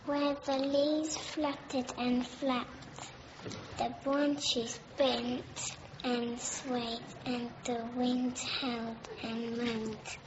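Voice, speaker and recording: A performer playing a young child reciting a story slowly in a radio drama. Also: mid-range voice, flat delivery. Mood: earnest. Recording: dull, noisy radio drama recording.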